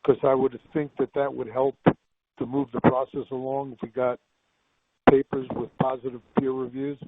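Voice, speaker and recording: Male conference caller, middle pitch, little melodic movement, conversational questioning, boxy phone audio. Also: little pitch movement, moderate pace, no echo. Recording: dry, narrow phone line.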